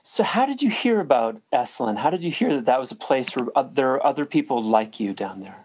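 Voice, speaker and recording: Male host, dry teasing interviewer, relaxed chat. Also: medium pitch, a little fast, a little hiss or hum.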